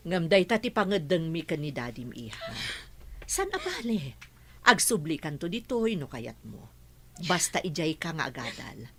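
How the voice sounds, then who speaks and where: A woman's voice: low-pitched, very animated, moderate pace, slight background noise. A middle-aged woman acting a caring mother who pleads with her daughter in an audio drama. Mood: pleading. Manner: soft, pleading.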